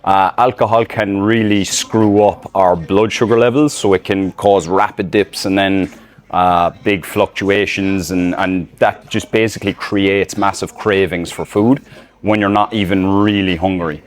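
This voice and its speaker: A man's voice: sits low, steady tone, unhurried. An Irish man, a fitness coach, talking casually to a phone camera outdoors, loose and rambling with many fillers. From Ireland. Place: outdoors in public, filming selfie-style. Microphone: phone camera in selfie mode.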